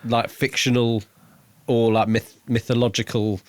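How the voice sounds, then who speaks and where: A man co-hosting a podcast, talking casually about a book in a halting way, building each thought piece by piece. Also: medium pitch, level tone, no room sound. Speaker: podcast co-host reviewing a book. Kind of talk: casual conversational book discussion.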